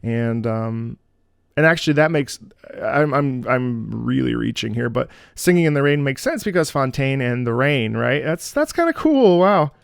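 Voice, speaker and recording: A man's voice: mid-range voice, expressive, normal speed, creaky. A man hosting a music reaction video, talking in a chatty, enthusiastic, casual way. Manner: chatty, enthusiastic, with many fillers and restarts.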